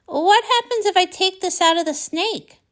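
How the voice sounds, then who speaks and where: A woman's voice: very high voice, normal speed, pitched above the performer's own voice. A woman voicing a kindly, determined young heroine pony in a storybook, encouraging and full of questions. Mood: curious, eager. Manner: encouraging, inquisitive.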